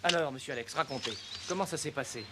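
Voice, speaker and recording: A man voicing a grumbling but caring old mechanic in a French animated film, fussing as he talks. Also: medium pitch, quick pace, lightly acted. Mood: scolding, baffled. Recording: clear background noise with animal sounds.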